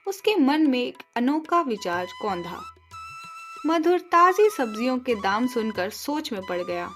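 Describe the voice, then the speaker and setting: Woman, high voice, children's story narration, slow pacing, music underneath. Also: big pitch swings, fairly bright tone. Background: background music.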